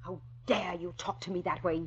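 An actress playing a haughty, sharp-tongued, middle-aged society mother in a 1940s radio drama, husky and imperious. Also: fairly low pitch, fairly even intonation, moderate pace. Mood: indignant. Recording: dull, lo-fi old radio recording.

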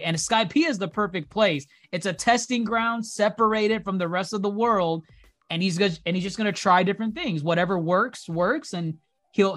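A podcast co-host in casual chat, talkative and quick to laugh, restarting sentences as thoughts pile up. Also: mid-range voice. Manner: chatty, laughs often, trails into restarts.

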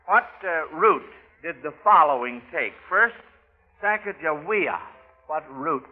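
A man's voice: on the high side, animated, slow. An American man hosting an old-time radio children's quiz in a folksy, hesitant, chuckling way, often repeating himself. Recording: narrow-band old radio broadcast.

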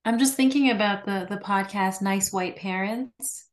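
A woman's voice: mid-range voice, measured pace. Casual, thoughtful woman podcast co-host. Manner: casual, thoughtful, drops in "like" often. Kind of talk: podcast conversation.

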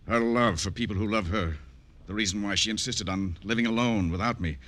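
Protective, embittered father played by a man, middle-aged, very low, tense, formal radio delivery. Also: fairly bright tone, natural voice. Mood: formal, guarded. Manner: formal, measured, emphatic. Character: bitter, protective father of a grown daughter. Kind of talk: old-time radio drama acting.

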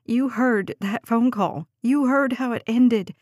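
A woman's voice: on the high side, slow pace, darkish tone, no echo, studio quality. A woman voicing a frantic, demanding mother of a missing girl in an audiobook, urgent and pleading. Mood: angry, insistent.

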